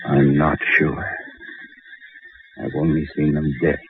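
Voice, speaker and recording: A man acting as a grave expedition doctor in a radio drama, speaking in a deliberate, explanatory way. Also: extremely low pitch, monotone, over music. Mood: somber, explanatory. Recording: dull lo-fi radio recording, faint noise.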